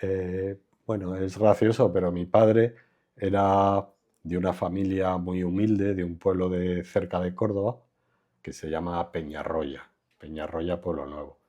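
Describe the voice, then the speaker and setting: Low, husky-voiced older man. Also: little pitch movement, mellow, lo-fi sound. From Madrid, Spain. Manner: reflective, unhurried, trails off with fillers. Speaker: architect, interview guest. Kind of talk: relaxed conversational interview.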